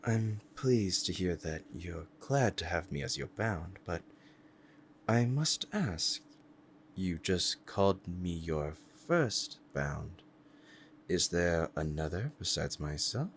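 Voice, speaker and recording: A man's voice: sits low, expressive, slow pace, slight background noise, heavily acted. A man playing a powerful, proud demon devoted to a master, speaking in a formal, courtly and menacing way in an ASMR roleplay drama. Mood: uneasy, questioning.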